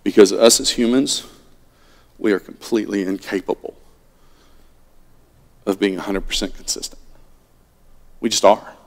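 A middle-aged man preaching a sermon from a pulpit, swinging between loud animated lines and hushed ones. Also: lowish voice, moderate pace, long pauses. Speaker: pastor. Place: church sanctuary at a pulpit. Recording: long reverb of a church hall.

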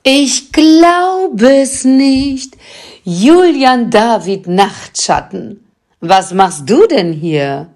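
A woman's voice: fairly high pitch, animated, drawn-out words, darkish tone, heavily acted. An actress playing a sassy, villainous witch grandmother in an audio drama, with a sardonic drawl. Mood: surprised, fond. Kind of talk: German audio drama acting.

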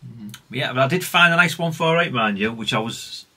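A man's voice: medium pitch, expressive, fairly quick, pushed and bright, slightly rough. A middle-aged British man giving casual, unscripted workbench commentary in a rambling, chatty, plain-spoken way. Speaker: hobbyist radio repair vlogger.

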